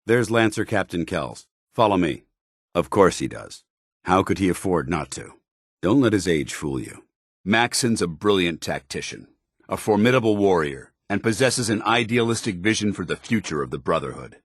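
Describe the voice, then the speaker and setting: Stern army paladin companion from a game, real deep n raspy, super dry sound, formal n bossy. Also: male voice, big pitch swings, moderate pace, no echo, full character voice. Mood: proud, steady. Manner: formal, clipped, authoritative. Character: stern, duty-bound male military paladin companion. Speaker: commanding officer. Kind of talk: scripted video game dialogue.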